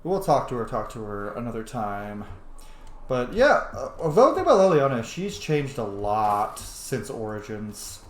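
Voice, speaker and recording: A man's voice: mid-range voice, very animated, moderate pace. A man giving casual let's play commentary while roleplaying a video game, rambling and thinking aloud. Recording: roomy echo, faint background noise. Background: video game ambience.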